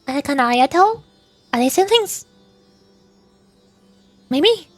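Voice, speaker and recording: A woman with a high voice chats casually on a game livestream. She muses and questions herself aloud as she goes, with music playing faintly behind her. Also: big pitch swings, slow pace, no room sound. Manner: musing, often questioning aloud. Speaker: VTuber game streamer.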